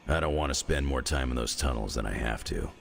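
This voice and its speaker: An actor playing a gruff, wisecracking clawed mutant veteran in a video game cutscene, with a sarcastic, joking manner. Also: male voice, lively intonation, fairly quick, slight background noise, exaggerated voice. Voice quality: gravelly, very deep.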